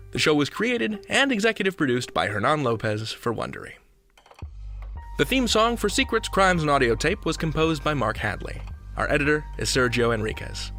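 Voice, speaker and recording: A man's voice: fairly high pitch, fairly bright tone. A man hosting a podcast in a scripted, conversational storytelling style, playful and theatrical in his emphasis. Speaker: podcast host. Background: music bed.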